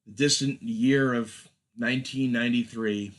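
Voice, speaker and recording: A man's voice: mid-range voice, steady tone, measured pace. A man hosting a casual film chat, with a wry, joking manner. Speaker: podcast host.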